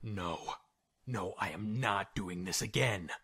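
A man playing a weary, desperate captive doctor and worried father in an audio drama, urgent and halting. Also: on the low side, bright, forceful tone, breathy edge, exaggerated voice. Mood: defeated, resigned.

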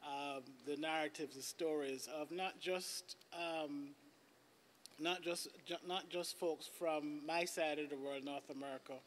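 A man's voice: fairly high pitch, fairly even intonation, unhurried, fairly bright tone, slight background noise. An older man giving unscripted panel remarks in a conference room, often repeating words as he searches for the next. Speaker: panelist, human rights specialist. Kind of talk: extemporaneous closing remarks on a panel. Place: large indoor conference room. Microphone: desk conference microphone.

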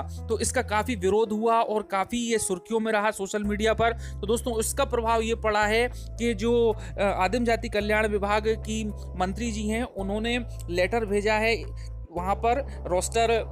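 A man hosting an online news update, addressing viewers in a presenter's manner. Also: sits high. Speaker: YouTube channel host. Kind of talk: news update narration to subscribers. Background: background music.

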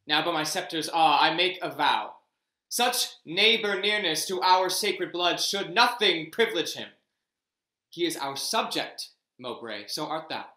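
A man's voice: on the high side, expressive, a little slow, bright, forceful tone, reverberant, rough recording. A man voicing a commanding young king in a Shakespeare reading over a video call, with regal verse delivery. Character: King Richard, commanding young male monarch. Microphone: video call webcam.

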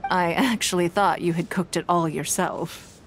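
A woman voicing a poised, elegant noblewoman leader in a video game, composed, gracious and measured. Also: fairly low pitch, fairly even intonation, slight background noise, natural voice. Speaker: game character.

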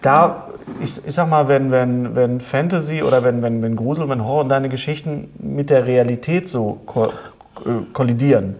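A middle-aged man hosting a casual interview and reading out questions, often halting and repeating words. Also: medium pitch, a little mellow, a little hiss or hum. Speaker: talk show host. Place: indoor studio set at a table.

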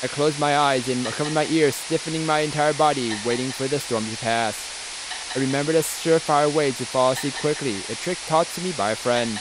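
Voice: medium pitch, fairly quick, background noise. A let's play host reading visual novel narration aloud, with casual asides.

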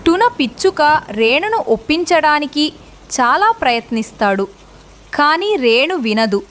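A woman's voice: high-pitched, lively intonation, a little slow, a bit bright, slight background noise. A woman narrating a scripted children's moral story as the storyteller.

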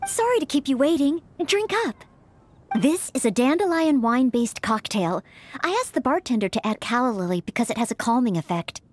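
A high, very animated young game heroine played by a woman, a maid knight. She is courteous and dutiful, earnest and always eager to please. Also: brisk, slight background noise, lightly acted. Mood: eager hospitality. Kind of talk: video game character acting.

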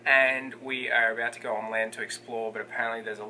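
A man's voice: medium pitch, flat delivery, quick pace. A man in his thirties casually chatting and joking while filming a travel vlog on a camera. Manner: joking, self-mocking banter. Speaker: travel vlogger. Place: outdoors on an island and in a ship cabin. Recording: noisy outdoor camera audio.

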